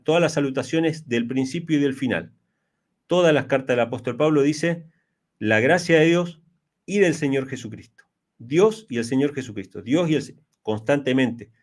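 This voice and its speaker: A man's voice: medium pitch, a little mellow. A man in his early forties explaining religious ideas in an interview, speaking emphatically with repetition and rhetorical questions. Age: early 40s. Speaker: interviewee, Bible teacher.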